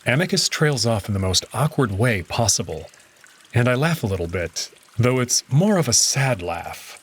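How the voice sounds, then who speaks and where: A man reading a visual novel aloud in first person, relaxed and conversational. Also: mid-range voice, moderate pace, breathy edge.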